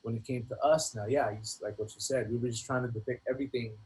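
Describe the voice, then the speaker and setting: A middle-aged man reminiscing casually as an interview guest, in a halting, slangy way that often doubles back on words. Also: medium pitch, steady tone, a little mellow, poor audio. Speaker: guest, rapper.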